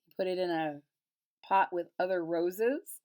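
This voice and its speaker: A woman reading a children's storybook aloud, pausing to retell and explain it simply for young listeners. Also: fairly low pitch, darkish tone. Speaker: storybook reader. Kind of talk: children's picture-book read-aloud with retelling in plain words.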